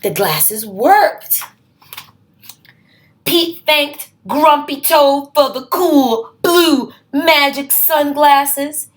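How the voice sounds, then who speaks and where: A woman's voice: high voice, drawn-out words. A woman reading a picture book aloud for young children, expressively, with simple asides to her listeners. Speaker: read-aloud host.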